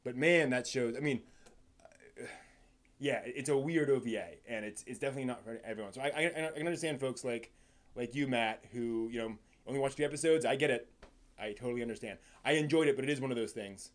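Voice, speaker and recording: Man rambling casually on a live stream. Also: mid-range voice. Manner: halting and rambling, with repeated words and trailing thoughts. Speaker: live stream host and anime reviewer.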